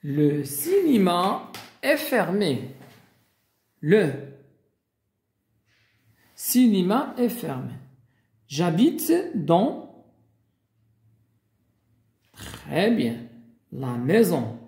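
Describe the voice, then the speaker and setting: Slow gravelly guy teaching beginners, dark voice, lots of pitch up and down, echoey room. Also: big pitch swings. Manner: slow, deliberate, spells out and repeats examples. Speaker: French teacher. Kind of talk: beginner language lesson.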